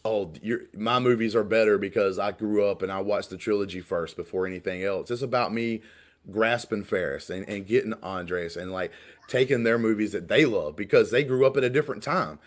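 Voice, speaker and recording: Podcast co-host, man, late 30s, lowish voice, rambling and full of restarts. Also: a little fast. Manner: rambling, repeats words, stumbles and restarts. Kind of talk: casual podcast conversation.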